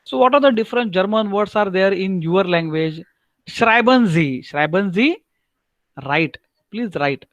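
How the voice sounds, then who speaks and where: Marathi-accented male teacher, higher-pitched voice, patient and repetitive, dry computer-mic sound on a video call. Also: animated, fairly bright tone, no echo, muffled recording. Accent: Marathi-accented Indian English. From Maharashtra, India. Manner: repeats himself, keeps asking "right?", spells out German words slowly. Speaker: German language teacher. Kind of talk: online lecture to a class.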